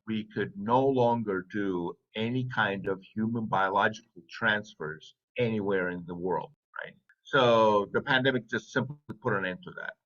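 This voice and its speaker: Guy in his 50s, kinda low voice, chatty interview guest w little asides, muffled lo-fi sound. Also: a bit bright. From Saudi Arabia. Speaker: interview guest, business owner. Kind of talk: casual personal storytelling. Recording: dull lo-fi audio.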